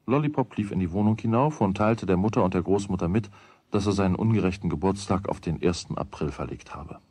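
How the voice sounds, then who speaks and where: A middle-aged man narrating a children's radio play as a calm storyteller. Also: deep voice, fast talker, husky, no room sound. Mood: calm narration.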